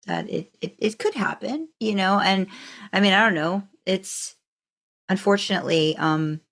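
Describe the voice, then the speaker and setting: A woman's voice: mid-range voice, normal speed, fairly bright tone. A woman in casual podcast conversation, explaining things in a tentative, circling way. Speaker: podcast participant. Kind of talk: casual chat.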